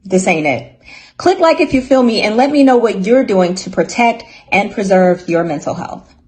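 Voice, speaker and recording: A woman addresses her followers in a short social media clip, sounding earnest and resolute. Her voice sits at a middle pitch. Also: expressive, normal speed, darkish tone.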